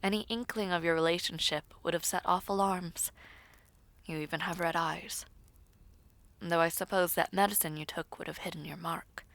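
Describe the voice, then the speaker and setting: A woman voicing a haughty but kindly royal princess in an audio roleplay, formal and measured, sometimes breathy. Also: fairly low pitch, fairly even intonation, a bit bright, no echo. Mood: dry, reassuring. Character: soft-spoken, haughty royal princess, blunt yet kindly.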